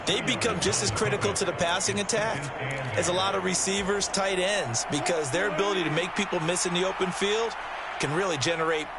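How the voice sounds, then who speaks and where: A folksy American male football analyst in a video game, with a slightly high voice. He explains things conversationally and knowingly, over loud stadium noise. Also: fairly quick. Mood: explanatory. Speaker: color commentator. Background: stadium ambience of a football video game.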